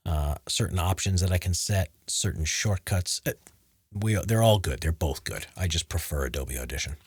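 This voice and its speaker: A man with a very low, deep voice, slightly husky, talking casually as he walks viewers through editing his own voice-over takes. He is recorded close on a studio boom mic in a home studio, and the sound is dry with no room echo. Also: normal speed. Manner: talks over his own playback, breaking off to explain edits. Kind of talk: casual livestream tutorial mixed with recorded narration takes. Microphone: studio mic on a boom arm.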